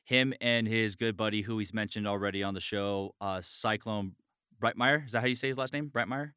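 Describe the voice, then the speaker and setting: A man's voice: on the low side, brisk, sharp tone, clean tone, no room sound, studio quality. A man hosting a show in a casual, chatty interview style. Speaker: show host.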